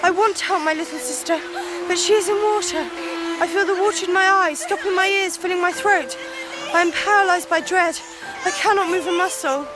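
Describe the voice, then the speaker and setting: Very high-voiced Victorian girl. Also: fairly even intonation, a little fast, loud music behind the voice, low-quality recording. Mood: dread. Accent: English. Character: spirited, anxious young Victorian girl, eldest sister. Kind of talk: 1990s radio drama acting and narration.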